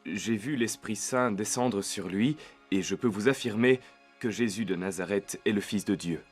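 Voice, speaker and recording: A resolute desert prophet, acted by a man, declares his words earnestly in a lowish voice. It is animated Bible drama, with soft music behind. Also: moderate pace, lightly acted. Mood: fervent, resolute.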